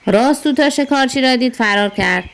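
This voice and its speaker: A woman storyteller with a fairly high voice narrates for children. Her delivery is scripted and expressive, each sentence set out clearly. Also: a little hiss or hum.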